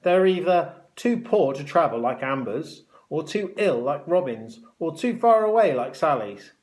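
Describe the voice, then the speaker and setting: Guy doing a nasty bossy hospital matron, high and snappy, sneering kids' story villain. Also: very animated, measured pace. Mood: mocking. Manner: sharp, snapping, mocking, imperious. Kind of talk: children's story read aloud.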